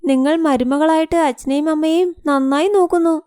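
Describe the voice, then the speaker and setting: A woman's voice: sits high, moderate pace, mellow, no echo, studio quality, pitched above the performer's own voice, lightly acted. A woman voicing a self-pitying, wheedling young married daughter in a cartoon moral story. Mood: remorseful.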